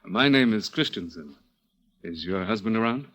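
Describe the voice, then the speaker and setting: An American man acting as a cunning, controlling young murderer in a radio thriller, with an insinuating, halting, deliberate delivery. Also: lowish voice, lively intonation, a bit bright. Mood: insistent, smooth. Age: young adult. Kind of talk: radio thriller acting with first-person narration.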